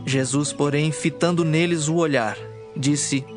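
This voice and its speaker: A man's voice: fairly high pitch, steady tone, a little slow. A man with a Brazilian accent narrating scripted, dramatized scripture. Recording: clear music bed behind the voice.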